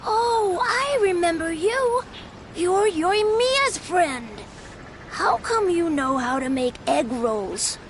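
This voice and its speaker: A woman's voice: extremely high pitch, lively intonation, takes it slowly, slight background noise, exaggerated voice. A woman voicing a friendly, proud young boy in a video game, with an emphatic, exclamatory way of talking. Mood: curious.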